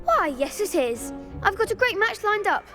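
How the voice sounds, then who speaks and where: An eager schoolboy in a fantasy game. The voice is very high and enthusiastic, with a chummy delivery. Music runs behind it. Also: male voice, very animated, normal speed. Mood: keen. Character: upbeat, eager teenage boy running a duelling club. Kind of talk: video game cutscene acting.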